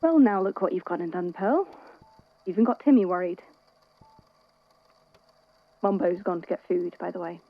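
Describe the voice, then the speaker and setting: A caring, tired friend acted by a woman speaks in a lowish voice with hesitant, wry, conversational delivery. It is naturalistic audio drama, recorded a little muffled. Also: moderate pace, pauses often, no room sound. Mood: gently chiding. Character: worried, weary, caring friend at a hospital bedside.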